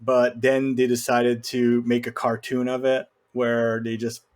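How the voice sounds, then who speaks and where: A man's voice: mid-range voice, completely flat delivery, unhurried, darkish tone. A man with a mid-range voice co-hosts a film podcast. He talks casually, explaining his points as he goes. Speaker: podcast co-host.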